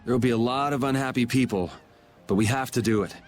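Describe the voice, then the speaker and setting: A man's voice: mid-range voice, quick pace, a little hiss or hum, lightly acted. A man voicing a casual but resolute young swordsman who leads his group in a video game. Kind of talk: English video game voice acting.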